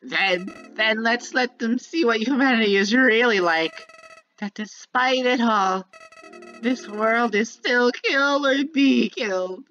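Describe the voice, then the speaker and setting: A woman's voice: on the high side, slow, fairly bright tone, muffled recording. A woman voicing a sinister, childlike talking flower from a video game, bitter and manipulative, delivering a theatrical monologue that moves between sweet musing and menace. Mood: weary, menacing. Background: prominent music.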